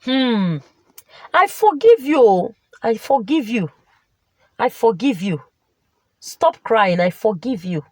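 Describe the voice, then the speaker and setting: Wronged mother, played by a female storyteller: slow, knowing, measured, fairly high pitch. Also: very animated, dry recording, full character voice. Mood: forgiving. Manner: measured, proverb-laden, sighing hums. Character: knowing, wronged mother, reproving yet forgiving.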